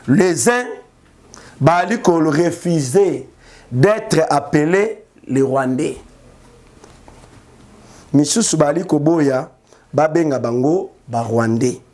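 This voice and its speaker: A man's voice: fairly high pitch, slow, long pauses, a little mellow. A man gives live news commentary in a mocking way and laughs at his own remarks.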